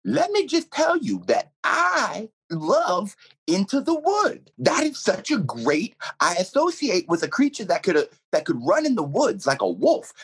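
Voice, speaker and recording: A man playing an eccentric, earnest werewolf radio DJ being interviewed on a comedy show, husky, theatrical and rambling. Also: high voice, wildly swooping pitch, a little slow, heavily acted. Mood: eager, theatrical. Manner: theatrical, rambling, swooping emphasis.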